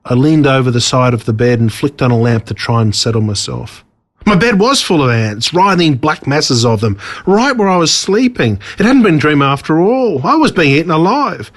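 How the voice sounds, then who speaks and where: An Australian man reads a children's story as a narrator, expressively and with dramatic pauses on key words. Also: a little high, animated. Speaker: author reading own story.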